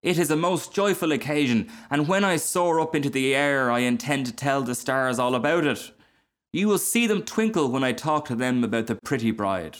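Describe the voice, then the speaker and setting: A man voicing a small, cheerful, excitable fire balloon in a storybook, speaking eagerly and exclaiming. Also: medium pitch, moderate pace, voice pushed up, close to an everyday voice. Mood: joyful. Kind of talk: storybook character voice.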